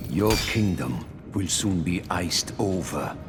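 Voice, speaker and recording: An actor playing a cold, grimly determined villain in a video game, grave and measured. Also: male voice, low voice, animated, loud music behind the voice. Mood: cold resolve.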